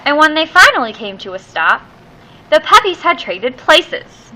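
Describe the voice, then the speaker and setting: A woman reading a children's picture book aloud expressively, with theatrical, drawn-out emphasis on key words. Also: high-pitched, big pitch swings, very noisy.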